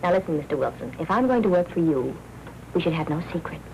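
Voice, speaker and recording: An eager, coaxing young woman in a 1940s noir picture. Her voice is fairly low and earnest. The aged soundtrack adds light noise. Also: expressive, fast, dark tone. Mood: pleading, confiding. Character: eager, wheedling young female would-be cub reporter. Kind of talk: 1940s film noir acting. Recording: noisy 1940s film soundtrack.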